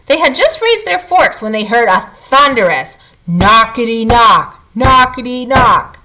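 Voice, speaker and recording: A woman reading a children's picture book aloud as a storyteller, with expressive, dramatic emphasis for young listeners. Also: on the high side, unhurried, background noise.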